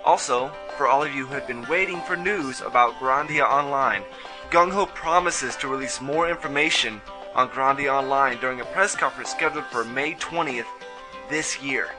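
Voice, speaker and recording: A man's voice: medium pitch, moderate pace. A man hosting a review show, reading scripted commentary on video games with music behind him.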